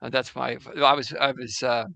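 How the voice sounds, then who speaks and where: A man playing a 17th-century Jesuit astronomer priest in a casual improvised interview over a video call, halting and full of restarts. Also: mid-range voice, fairly even intonation, creaky, sharp tone, dry recording. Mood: wry. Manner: halting, full of restarts and fillers, joking anachronisms. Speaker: guest in role-play.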